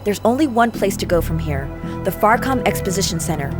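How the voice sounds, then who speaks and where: A woman's voice: medium pitch, lively intonation, a little fast, lightly acted. Woman doing a tough wry secret agent lady for a video game cutscene, music going behind. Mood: wry, resolute. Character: steely, wry female agent on the run.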